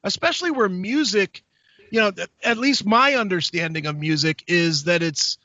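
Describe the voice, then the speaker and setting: A male show host with a high, bright voice, asking loose, stumbling questions. The sound is dry and a little dull. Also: moderate pace, dry recording. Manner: short affirmations, stumbling questions. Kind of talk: casual interview questions.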